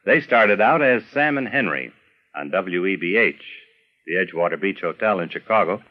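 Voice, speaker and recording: Deep-voiced older American male narrator. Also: lively intonation, normal speed, muffled recording. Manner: careful, polished announcer diction. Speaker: documentary narrator, veteran radio announcer. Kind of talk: scripted reminiscing narration.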